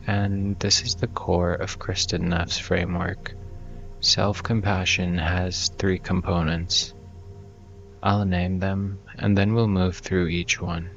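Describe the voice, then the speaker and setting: Very deep, slow-speaking male meditation guide. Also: gravelly, a bit bright, dull audio.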